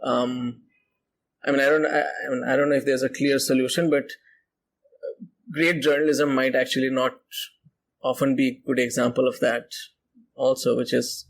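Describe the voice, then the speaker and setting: A man with a mid-pitched voice speaks as a podcast panelist. He is reflective and tentative, with a flat intonation and halting phrasing. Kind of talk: reflective discussion.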